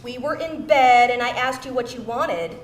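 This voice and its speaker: A woman's voice: fairly high pitch, moderate pace, natural voice. A woman playing an exasperated, suspicious lover with a sarcastic, incredulous manner in a comedic live screenplay reading. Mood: exasperated, indignant. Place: indoor venue, live reading. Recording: echoey room, faint noise.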